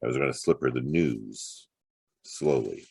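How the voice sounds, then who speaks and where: An actor playing a wry, streetwise private detective in a hard-boiled audio drama, with a sardonic, conversational delivery. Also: male voice, rumbling low, unhurried, creaky, breathy edge, exaggerated voice. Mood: weary storytelling. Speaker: actor narrating and playing the lead.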